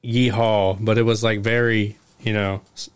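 A man's voice: on the low side, level tone, normal speed, smooth, no echo. A man from Oklahoma with a mild Oklahoman accent, chatting casually and rambling with many fillers. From Oklahoma, USA. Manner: rambling, filler-heavy, laughs often. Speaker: conversation partner. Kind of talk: casual chat.

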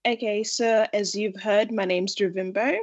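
A woman born in Zimbabwe and raised in Australia, answering interview questions in an earnest, measured way. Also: mid-range voice, steady tone, husky, dull audio. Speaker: interview guest, entrepreneur.

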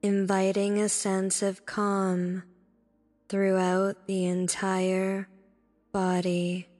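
A woman narrating a guided meditation in a soothing, deliberate manner, speaking in short instructive phrases. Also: medium pitch, completely flat delivery, a little mellow. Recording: long reverb with background music. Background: music.

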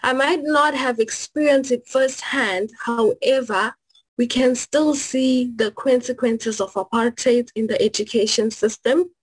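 A woman from South Africa answers interview questions as a graduate student. Her voice sits a little high and has a husky, breathy edge. She explains things earnestly, leaning on examples and a frequent "you know.". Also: measured pace, rough recording. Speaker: guest, master's student.

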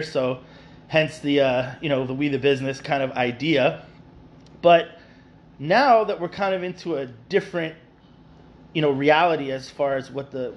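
A man's voice: mid-range voice, dull audio, slight background noise. A man from New York hosting a casual podcast, rambling with many fillers and restarts. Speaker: podcast host.

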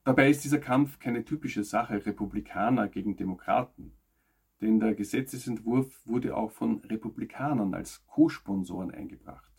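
Gravelly, low-voiced man presenting news. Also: normal speed, darkish tone. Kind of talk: scripted news narration.

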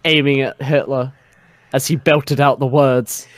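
A man's voice: mid-range voice, little pitch movement, measured pace, dry recording. A man doing a dramatic fan fiction reading and joking around casually as a podcast host.

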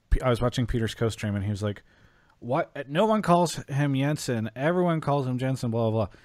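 A man's voice: mid-range voice, no echo. Casual male call-in host, close dry sound. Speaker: host of an esports call-in show. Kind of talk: casual banter and call-in hosting.

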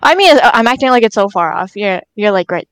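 A woman's voice: mid-range voice, animated, fairly quick, dry recording. Young woman chatting casually, dry close sound. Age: early twenties. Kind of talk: casual chat.

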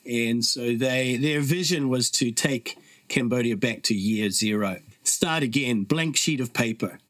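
A man's voice: mid-range voice, measured pace, a bit bright, no room sound. Earnest New Zealand man, dry close sound. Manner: reflective, earnest, with frequent fillers. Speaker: podcast guest. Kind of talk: casual conversational storytelling.

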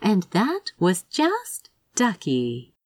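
A woman reading a story aloud in an expressive, wry and conversational way. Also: medium pitch, very slow, no room sound. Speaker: fan fiction narrator. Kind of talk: expressive scripted story narration.

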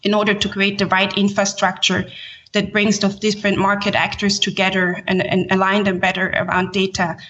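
A woman's voice: mid-range voice, monotone, a little fast, a bit bright, slight background noise. Woman guest on a dull, band-limited line. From born in Haiti, grew up in Switzerland. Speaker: podcast guest, ESG executive. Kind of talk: explanatory interview talk in professional terms.

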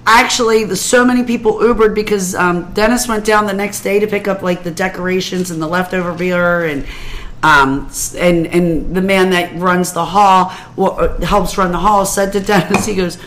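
A woman in her fifties co-hosting a casual podcast, her voice a bit hoarse and raspy, chatting and laughing easily. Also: on the low side, steady tone, normal speed, fairly bright tone, slight background noise. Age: 50s. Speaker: podcast co-host. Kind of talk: casual chat.

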